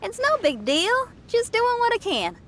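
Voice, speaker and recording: A woman's voice: very high voice, huge pitch swings, unhurried, fairly bright tone, a little hiss or hum, exaggerated voice. A woman playing an easygoing, modest young woman in a video game, with a friendly, folksy manner. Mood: friendly, upbeat. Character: easygoing, modest young female camp cook.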